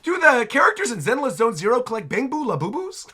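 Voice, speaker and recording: A man's voice: very high voice, wildly swooping pitch, quick pace, pushed and bright, husky. A man hosting a reaction video, giving chatty, emphatic casual commentary.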